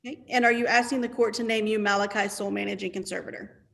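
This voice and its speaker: Female attorney, gravelly edge, methodical courtroom questioning over a video call. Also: medium pitch, fairly even intonation, fast. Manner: formal, methodical yes-or-no questions.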